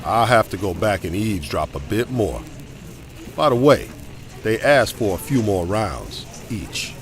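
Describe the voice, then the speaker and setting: Casting: male actor for folksy sidekick barman's helper, lowish unhurried voice, wildly animated, vintage radio play. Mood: jovial. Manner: folksy, easygoing. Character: jovial, giggly, folksy speakeasy waiter, loyal sidekick. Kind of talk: 1920s old-time radio drama acting.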